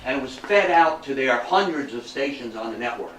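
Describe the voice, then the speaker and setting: An older man giving an informal talk to a group and reminiscing, in a folksy, halting way with repeated words. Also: mid-range voice, lively intonation, a bit bright. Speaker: guest speaker at a local history talk. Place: meeting room with an audience. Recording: echoey room, distant mic, background hiss.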